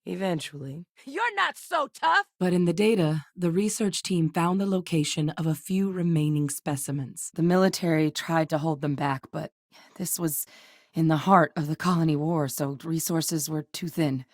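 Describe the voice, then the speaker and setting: An actress voicing a capable, guarded military scientist in a video game, speaking in a measured, earnest, matter-of-fact way. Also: female voice, sits low, fairly even intonation, a little mellow, dry recording, studio quality. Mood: earnest, reflective. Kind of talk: video game character voice lines.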